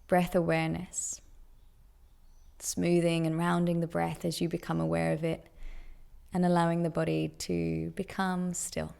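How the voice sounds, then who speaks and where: Slow, low-pitched woman guiding meditation. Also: completely flat delivery, vocal fry, a little mellow. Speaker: guided meditation teacher. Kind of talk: guided meditation, soothing instruction.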